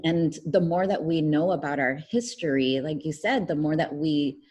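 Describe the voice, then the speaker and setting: Low-voiced woman, chatty and filler-heavy. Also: normal speed, a little mellow, slightly rough. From United States. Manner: rambling, many fillers like "um" and "like". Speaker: guest. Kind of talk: casual interview conversation.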